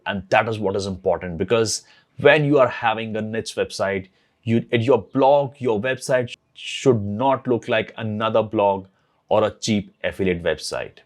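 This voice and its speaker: A man whose first language is not English, talking casually to camera as host of a blogging channel and repeating phrases as he thinks aloud. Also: on the low side. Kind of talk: casual tutorial talk to camera.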